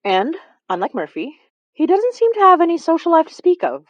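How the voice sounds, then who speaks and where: Wry, lively-voiced woman narrator. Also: extremely high pitch, brisk, no room sound, highs cut off. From Canada. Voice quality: chatty, wry and sarcastic. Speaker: narrator and lead of a horror fiction podcast. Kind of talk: scripted audio drama narration.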